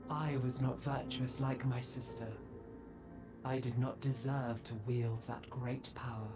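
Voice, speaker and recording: A woman playing an ancient, stern and remorseful centaur guardian in a fantasy game, speaking gravely and formally. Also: a bass voice. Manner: grave, slow, formal delivery. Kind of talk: dramatic fantasy game voice acting.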